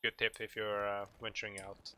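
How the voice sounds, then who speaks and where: A man with a Norwegian accent giving an informal game tutorial in English, speaking hesitantly in halting phrases. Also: lowish voice, monotone, sharp tone. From Norway. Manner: hesitant, halting delivery with pauses. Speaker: video tutorial narrator.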